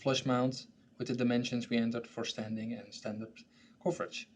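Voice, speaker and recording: A man hosting a training session, walking through a software tool in a conversational style. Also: mid-range voice, level tone, normal speed, a little hiss or hum. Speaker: training presenter and host.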